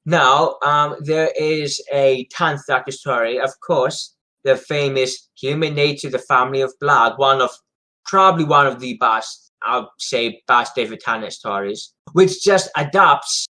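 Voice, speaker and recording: A man's voice: mid-range voice, unhurried, sharp tone. A man co-hosting a casual fan discussion, speaking in a hesitant, rambling way and often repeating himself. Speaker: co-host of a Doctor Who discussion show.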